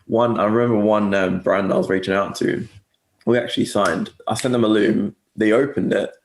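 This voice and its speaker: Young male guest, mixed English-Aussie accent, low and gravelly, rambling casual delivery, echoey call audio. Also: fairly even intonation, moderate pace, slight background noise. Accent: mixed English and Australian. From Australia. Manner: rambling, self-correcting, lots of fillers. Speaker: guest agency owner. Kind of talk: casual interview chat.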